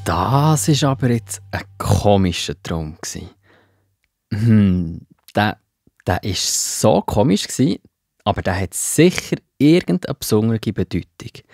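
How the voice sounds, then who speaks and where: A man playing a slow musing apostle. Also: fairly low pitch, sing-song, creaky, darkish tone, no echo, clean studio sound, soft background music, exaggerated voice. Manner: musing, pondering aloud. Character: Peter, thoughtful kindly bearded apostle man. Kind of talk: children's audio drama acting.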